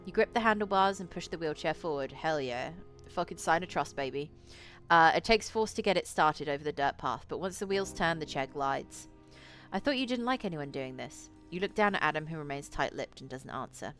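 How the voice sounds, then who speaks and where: A woman streamer with a low voice reading a visual novel aloud, dropping in casual, sweary asides. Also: brisk, faint music behind. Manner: sweary, excitable reactions in asides.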